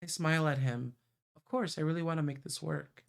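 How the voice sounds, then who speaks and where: A man narrates a visual novel on a stream in an expressive reading style. His voice sits a little high for a man and carries noticeable creak. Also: brisk.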